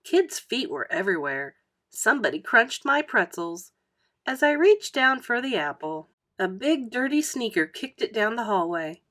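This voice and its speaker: A woman storyteller narrating a children's book aloud in an expressive style. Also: a little high, normal speed. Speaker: read-aloud storyteller, teacher.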